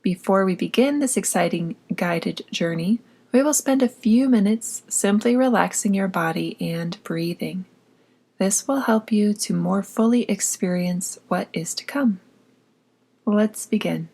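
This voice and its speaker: A woman narrating a guided meditation in a soft, breathy voice, with a soothing, gently instructing manner. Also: mid-range voice, a little slow. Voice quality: soft, breathy, often near whisper.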